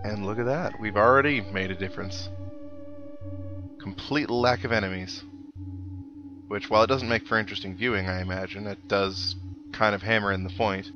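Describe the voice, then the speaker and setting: Low-voiced young man chatting over game music. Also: moderate pace, pauses often, muffled recording. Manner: chatty, rambling, reflective asides. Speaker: let's play host. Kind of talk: casual video game commentary. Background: video game music.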